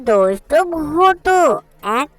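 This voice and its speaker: Woman voicing a plaintive, slow-talking cartoon child. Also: high-pitched, sing-song, exaggerated voice. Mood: pleading. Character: small child, earnest, plaintive, attached to a pencil. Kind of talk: cartoon character acting.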